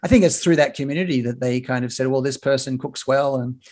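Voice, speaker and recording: A man in his fifties with an Australian accent, a broadcaster telling stories as a podcast guest in a relaxed way, with many fillers and restarts. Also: mid-range voice, quick pace. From Sydney, Australia. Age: 50s. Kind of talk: casual interview chat. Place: home near a rail line.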